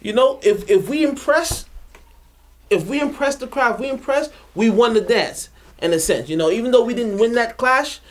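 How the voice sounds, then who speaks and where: A man's voice: extremely high pitch, animated, normal speed. A man from a sound system talking casually in an interview, reflective and hesitant, with many fillers.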